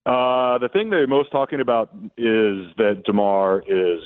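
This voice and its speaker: A man's voice: mid-range voice, a little slow, clean tone. A man calling in to a sports radio show as a reporting guest, telling stories in a conversational way. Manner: conversational, storytelling with restarts. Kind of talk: casual call-in chat. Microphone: phone. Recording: tinny phone line.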